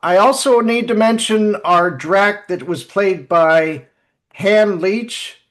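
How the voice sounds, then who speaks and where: Male podcast host: upper-mid pitch, casual delivery, enthusiastic and appreciative. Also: slow, fairly bright tone. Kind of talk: casual podcast hosting, introducing guests.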